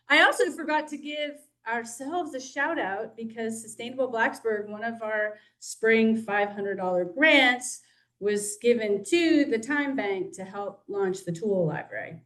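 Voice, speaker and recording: A woman's voice: on the high side, measured pace, a bit bright, distant mic. A woman organizer making casual announcements, laughing lightly between points. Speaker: event organizer. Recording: voice from away from the mic.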